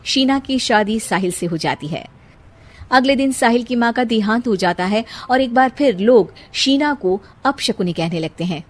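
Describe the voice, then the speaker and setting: A woman's voice: on the high side, expressive, normal speed. A woman reading scripted narration for a family moral-story cartoon.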